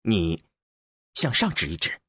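A man's voice: low voice, sing-song, unhurried, pushed and bright, breathy edge, no room sound, studio quality, pitched below the performer's own voice, heavily acted. A man playing an impatient, sardonic space explorer in an audiobook, speaking in a skeptical, commanding way. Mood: pressing, commanding. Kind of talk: acted audiobook dialogue.

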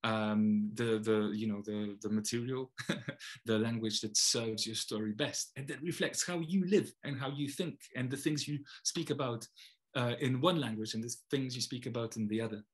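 A man's voice: mid-range voice, moderate pace. A man in his thirties with a Polish accent, a poet talking casually to a webcam from his home study, thoughtful and full of hesitations and restarts. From Poland. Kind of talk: casual talk to camera. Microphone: webcam, video call framing.

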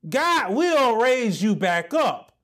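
A man in his thirties preaching a sermon as pastor, building to emphatic repeated lines with deliberate pauses, recorded on a lapel mic in a small church room. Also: very high voice, big pitch swings, a bit bright. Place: small indoor church office set with pulpit.

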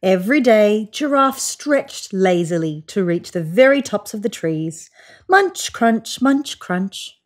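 A woman in her thirties reading a children's picture book aloud on a sofa, with voiced sound effects and hushed whispers. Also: medium pitch, sing-song, takes it slowly. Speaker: storybook reader. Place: indoors on a sofa.

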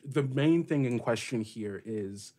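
Man running a tabletop game, deliberate and halting. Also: medium pitch, expressive, dark tone. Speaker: game master. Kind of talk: narrating and questioning in a tabletop role-playing game.

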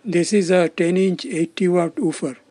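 A man's voice: high voice, fairly even intonation, normal speed, warm tone, slightly rough, no echo. A man giving scripted, step-by-step narration as the presenter of a technical demonstration. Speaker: presenter of a loudspeaker testing demonstration.

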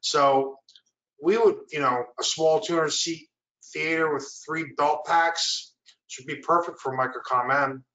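A man's voice: fairly high pitch, steady tone, measured pace, sharp tone. A middle-aged man giving an informal product presentation on a webinar from a small room. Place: small indoor room, video call. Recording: roomy echo, distant microphone.

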